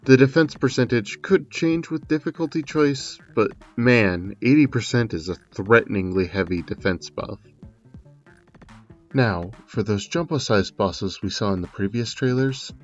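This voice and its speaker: A man's voice: lowish voice, a little mellow, highs cut off. A man narrating a scripted video game analysis, with conversational asides thrown in. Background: music.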